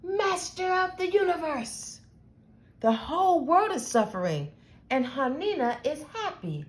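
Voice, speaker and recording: A woman voicing a pious rabbi man who pleads slowly and plaintively to the heavens in a storybook reading. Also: high-pitched, sing-song, pitched above the performer's own voice. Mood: pleading. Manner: slow, plaintive, beseeching prayer.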